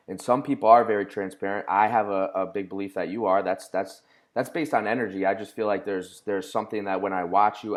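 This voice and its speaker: A man from Connecticut hosting a podcast interview, speaking earnestly with halting restarts. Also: low voice. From Connecticut, USA. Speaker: podcast host. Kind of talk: casual interview.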